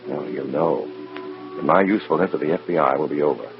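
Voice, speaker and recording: An actor playing a weary, conscience-torn American undercover agent, narrating in a brooding, clipped hard-boiled style of old radio drama. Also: male voice, rumbling low, fast talker. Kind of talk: mid-century radio drama acting, first-person narration. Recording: muffled old radio recording with music.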